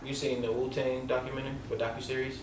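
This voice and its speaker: A man's voice: mid-range voice, flat delivery, fast. A man with a light voice hosting a casual interview, talking loosely with lots of slang and "like" fillers. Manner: loose and rambling, heavy on filler "like" and street slang. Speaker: podcast host. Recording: echoey room, mic at a distance, noisy background.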